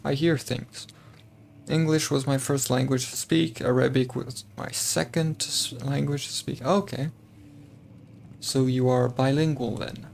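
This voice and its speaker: A man's voice: medium pitch, fairly even intonation, unhurried, gravelly, darkish tone, slight background noise. A man streaming a game, chatting casually, thinking aloud and talking to his chat. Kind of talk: casual gameplay commentary.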